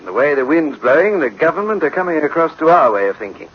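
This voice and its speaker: A man's voice: fairly high pitch, sing-song, fast talker, breathy edge. An actor playing a confident, profit-minded businessman with a grandiose, persuasive manner, in a 1960s radio drama. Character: confident, gleeful, profit-minded male businessman pitching an invention. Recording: dull, noisy old radio recording.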